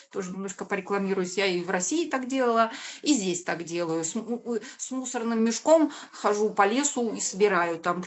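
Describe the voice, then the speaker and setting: A woman's voice: mid-range voice, a bit bright. A woman co-hosting a casual news chat, reading out viewer comments and adding short, plain remarks. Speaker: co-host.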